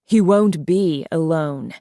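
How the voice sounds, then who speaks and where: A woman's voice: on the low side, moderate pace, a little mellow, dry recording, close to an everyday voice. Female narrator doing a young male dragon, arrogant, brusque, sarcastic, defensive, fantasy audiobook, dry sound. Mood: brusque, impatient.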